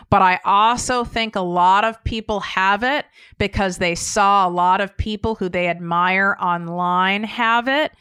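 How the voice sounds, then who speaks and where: Emphatic American woman coaching, crisp and dry. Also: mid-range voice, fairly bright tone, dry recording. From United States. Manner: emphatic, blunt, swears casually. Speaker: podcast host. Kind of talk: casual solo coaching talk.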